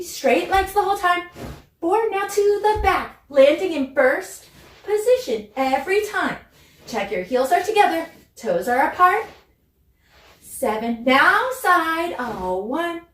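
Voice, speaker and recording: High-voiced woman teaching dance in an echoey room. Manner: encouraging, instructive. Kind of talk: ballet class instruction with demonstration and counting. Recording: roomy echo, distant mic, music behind.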